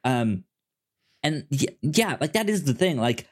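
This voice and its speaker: A man's voice: medium pitch, big pitch swings, unhurried, slightly rough. A man co-hosting a casual film discussion, stammering and repeating words as he works through his thoughts. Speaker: podcast co-host.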